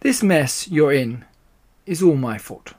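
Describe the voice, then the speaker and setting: A man voicing a sly, confident father fox in a children's story, speaking in a deliberate, conspiratorial way. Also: a little high, expressive, a little mellow. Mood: wry.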